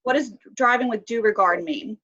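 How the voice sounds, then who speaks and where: A woman's voice: on the high side, moderate pace, a bit bright, dull audio. A woman teaching an online class with a slight cold, asking students questions and using many fillers. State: has a cold, sniffly. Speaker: instructor.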